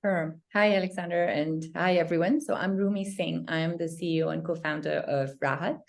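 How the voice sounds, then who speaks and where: Low-voiced woman from Nepal. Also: steady tone, fairly quick, gravelly. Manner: earnest, restarts sentences. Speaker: startup CEO. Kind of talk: panel discussion.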